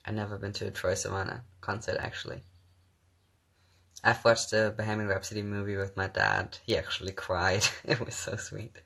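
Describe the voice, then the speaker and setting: A young man from Germany, a native German speaker with a mixed English accent, chatting casually on a phone live stream as he answers viewer comments with lots of soft giggles and hesitant fillers. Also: fairly low pitch, flat delivery, moderate pace, vocal fry. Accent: mixed English, not German-sounding.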